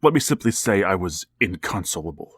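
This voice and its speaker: An actor playing a lonely, embittered widowed father who speaks in a measured, formal, brooding way. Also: low-pitched, big pitch swings, husky, dry recording, clean studio sound, full character voice. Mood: grave. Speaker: narrator of the story. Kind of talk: 1940s radio drama narration.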